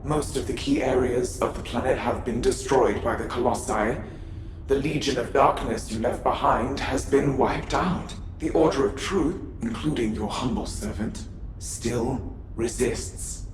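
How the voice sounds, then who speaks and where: A man's voice: medium pitch, unhurried, echoey room, background noise, natural voice. An actor playing a loyal, grave servant commander in a video game, speaking formally and deferentially to his sovereign. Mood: grave, resigned. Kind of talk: dramatic video game cutscene acting.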